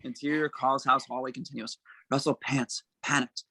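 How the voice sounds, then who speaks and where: Casting: male narrator for script directions, crisp bright tone with some fry, dry sound. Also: medium pitch, flat delivery, moderate pace, no room sound. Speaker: narrator reading stage directions. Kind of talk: scripted narration at an amateur table read.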